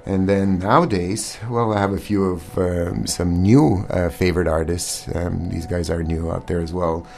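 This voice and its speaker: Deep-voiced, earnest male musician guest. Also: fairly even intonation, moderate pace, creaky, hardly any pauses, darkish tone. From born in Armenia, raised in Montreal. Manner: earnest, hesitant, many ums. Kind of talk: casual interview answers. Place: radio studio. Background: faint ambient music.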